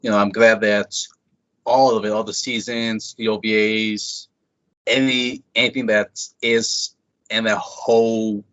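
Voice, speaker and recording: A man's voice: lowish voice, little pitch movement, unhurried, a bit bright, no room sound. A man hosting a podcast, chatting casually and eagerly with a guest. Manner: chatty, enthusiastic fan. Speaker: podcast host. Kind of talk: casual interview chat. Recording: dull lo-fi sound, dry.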